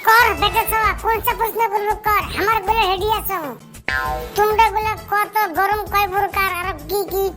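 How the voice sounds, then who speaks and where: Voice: flat delivery, moderate pace, music in the background. A performer voicing crude, whining cartoon village youths in a comedy dub, with the voice shifted up to a childlike sound. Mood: scolding. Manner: coarse, bantering, complaining. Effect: pitch-shifted up, childlike.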